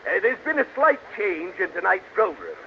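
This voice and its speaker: A man's voice: sits high, huge pitch swings, moderate pace, vocal fry, exaggerated voice. A man playing a pompous, stammering forum chairman who is easily exasperated, in a 1940s radio sitcom. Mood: officious. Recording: noisy, muffled old radio recording.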